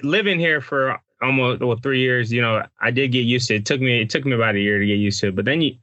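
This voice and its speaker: A man's voice: medium pitch, quick pace, sharp tone, no echo, highs cut off. A man from Texas, hosting a casual podcast chat and laughing often as he rambles. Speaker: podcast host.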